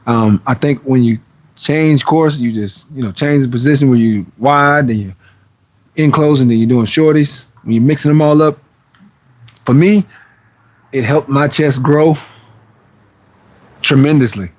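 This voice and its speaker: A man's voice: medium pitch, darkish tone, no echo. Laid-back man in his forties, dry sound. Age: 40s. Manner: laid-back, conversational, rambling with restarts and "man". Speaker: fitness livestream host. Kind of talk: casual live-stream chat answering viewer comments.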